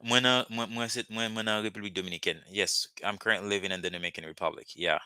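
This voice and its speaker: Haitian-accented young man as online language tutor, slow patient repeats, sharp tense tone, lowish pitch. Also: fairly even intonation, smooth, no room sound. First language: Haitian Creole. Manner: patient, repeats words slowly for learners. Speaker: language teacher. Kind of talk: live online language lesson.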